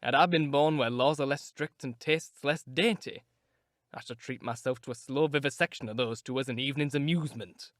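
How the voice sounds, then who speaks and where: A man voicing a harsh, brooding, cruel grown man who speaks in grim, deliberate threats. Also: mid-range voice, fairly bright tone, dry recording, clean studio sound, pitched below the performer's own voice, natural voice. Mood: bitter.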